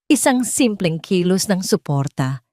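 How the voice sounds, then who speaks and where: A woman's voice: on the low side, sing-song, unhurried, darkish tone, dry recording, studio quality. A woman with a Filipino accent narrating a romance audio story in a scripted, dramatic storytelling style.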